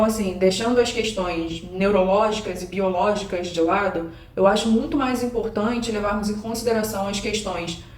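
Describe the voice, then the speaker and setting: Woman, Brazilian Portuguese accent, English teacher, explanatory lesson, calm and measured, echoey room. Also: mid-range voice.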